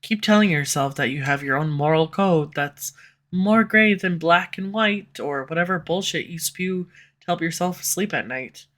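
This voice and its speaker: Woman doing a snarky villain, bright edgy voice, sarcastic teasing all the way through. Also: fairly low pitch, moderate pace. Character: snarky sharp-tongued villain, slightly tsundere. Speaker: audio roleplay voice actor. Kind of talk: scripted audio roleplay. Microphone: USB condenser mic.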